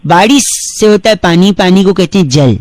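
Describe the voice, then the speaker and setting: A man's voice: high voice, expressive, moderate pace, darkish tone, no echo. A man hosting a comic radio prank call, talking with mock politeness and insistent, rambling explanations.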